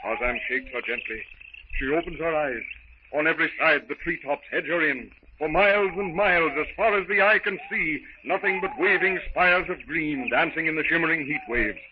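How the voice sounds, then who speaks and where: A man narrating a 1930s radio serial in a dramatic, theatrical, deliberate manner. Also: on the high side. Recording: noisy muffled 1930s radio recording.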